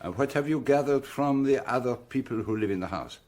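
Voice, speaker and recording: An actor playing a shrewd, courteous detective in a radio drama, with a French-speaking European accent and precise formal phrasing. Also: male voice, medium pitch, lively intonation, brisk, a little mellow, no echo, lightly acted. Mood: courteous, probing. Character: shrewd, courteous, self-assured foreign detective gentleman.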